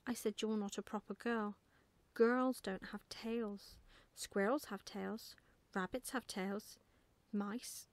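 A woman's voice: mid-range voice, steady tone, slow pace, dry recording. A woman voicing a naive, earnest young male barn owl in a storybook, childlike and easily cross. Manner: childlike questioning, indignant protests.

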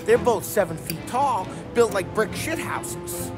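A man's voice: high-pitched, unhurried. An actor playing a boisterous male mobster who tells a rowdy story in a video game. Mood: amused. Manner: animated storyteller, laughing. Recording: game dialogue over loud music.

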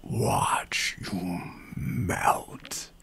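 A man voicing an ancient, crazed oak creature with a gargling, rocky, whispery rasp in a slow, drawn-out delivery. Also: bright, forceful tone. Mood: crazed gloating. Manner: slow, drawn-out, broken by wild laughter.

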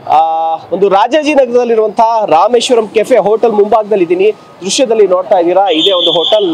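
A man's voice: high-pitched, darkish tone, slight background noise. A young man reporting on location outside a city restaurant, speaking into a handheld mic with frequent "aa" fillers. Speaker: field reporter. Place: outdoors in front of a busy city restaurant. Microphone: handheld mic held close.

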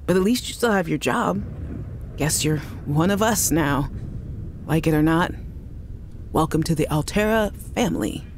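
An actress playing a worried woman scientist who leaves halting, apologetic voice messages for her sister in a video game. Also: deep voice, a little hiss or hum, natural voice. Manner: anxious, apologetic, halting restarts. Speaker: game character.

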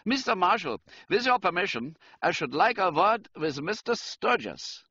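A man's voice: high voice, very animated, moderate pace, sharp tone, breathy edge, no room sound, muffled recording, voice pushed up, exaggerated voice. A man voicing a stiff, ceremonious German count with a florid, formal way of speaking in a storybook reading. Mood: solemn.

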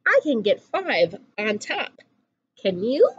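High-pitched, animated young woman reading aloud. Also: slow, lo-fi sound, a little hiss or hum. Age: young adult. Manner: animated, singsong rhyming delivery. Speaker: children's story reader. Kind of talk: expressive picture book read-aloud.

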